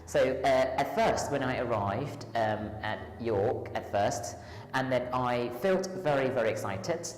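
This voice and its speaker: A Thai-accented woman with a strikingly deep voice answers interview questions, pausing on ums and starting her sentences over. She sounds as if she is in a large room with a long, ringing echo. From Thailand. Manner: hesitant, many ums and restarts. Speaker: lecturer and tutor, runs a tutoring school. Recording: echoey hall with long reverb.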